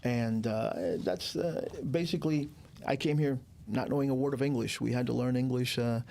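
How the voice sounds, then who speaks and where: A man in his sixties from Cuba, a guest telling stories in a relaxed, rambling way with many fillers. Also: medium pitch, moderate pace, vocal fry. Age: 60s. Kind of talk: casual interview chat.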